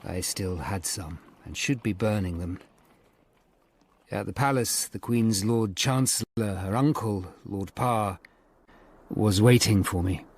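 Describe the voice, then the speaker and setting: Melancholy middle-aged English Tudor lawyer. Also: male voice, fairly low pitch, a little hiss or hum. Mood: melancholy, reflective. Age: 40s. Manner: measured, reflective, literate. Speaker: narrator and lead actor. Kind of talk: radio drama narration and dialogue.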